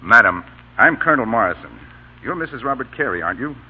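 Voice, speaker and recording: Casting: courteous, commanding colonel, medium-pitched, dull old radio drama sound. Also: male voice, animated, fast, slightly rough. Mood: courteous, gentle. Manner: authoritative, clipped military orders, gentlemanly. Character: commanding, courteous male Union army colonel, stern but kindly. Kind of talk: 1930s-40s radio drama acting. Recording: dull, noisy vintage radio broadcast.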